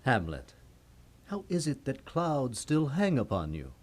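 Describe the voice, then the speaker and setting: A man's voice: mid-range voice, wildly swooping pitch, a little slow, a little mellow. A man playing a commanding middle-aged usurper king, grandiose and lecturing, in a theatrical Shakespearean puppet play. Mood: falsely kind. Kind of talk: theatrical Shakespearean stage acting for puppet show.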